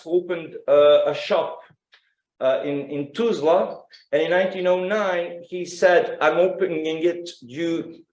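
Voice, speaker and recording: A man gives an academic presentation in a halting, conversational manner, repeating words as he goes. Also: fairly high pitch, darkish tone. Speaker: symposium speaker, music researcher. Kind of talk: academic presentation with informal asides. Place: indoor symposium room. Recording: roomy, echoing live talk.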